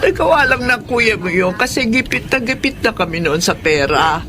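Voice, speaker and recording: A woman playing a gentle, apologetic elderly mother in a radio drama, with a halting, motherly way of talking. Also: on the high side, expressive, close to an everyday voice. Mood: pleading, remorseful.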